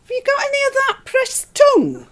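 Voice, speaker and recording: A woman's voice: extremely high pitch, huge pitch swings, moderate pace, a bit bright, full character voice. A woman acting a weary, put-upon Yorkshire housewife in a radio play, with a dry, grumbling way of talking. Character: weary, put-upon married northern English housewife, unimpressed.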